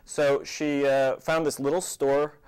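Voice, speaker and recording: A man's voice: fairly high pitch, level tone, slow, a little mellow, clean tone, low-quality recording. An American man speaking as a theater director guest, explaining things in a studio interview.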